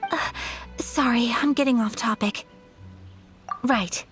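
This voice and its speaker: A woman playing a gentle, composed young noblewoman in a video game, speaking in a formal, polite, measured way. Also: a little high, faint music behind. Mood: modest, earnest.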